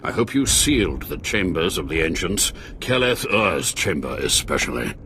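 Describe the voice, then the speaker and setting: An actor playing a grave, anxious Sith lord scholar with a formal, theatrical manner and husky voice in a video game. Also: male voice, low voice, big pitch swings, normal speed, a bit bright, faint music behind. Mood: alarmed. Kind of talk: video game cutscene acting.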